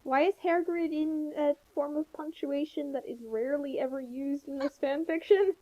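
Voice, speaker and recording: A woman's voice: sits high, normal speed, mellow. A woman co-hosting a casual, wry commentary, heard through a dull, muffled connection. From United States. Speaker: co-host of a commentary.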